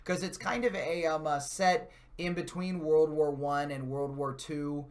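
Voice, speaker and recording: A man's voice: on the high side, fairly even intonation, measured pace. Enthusiastic, rambling man chatting about games. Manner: enthusiastic, gushing, loosely rambling. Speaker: host of a video game channel's live stream. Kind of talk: casual gaming chat.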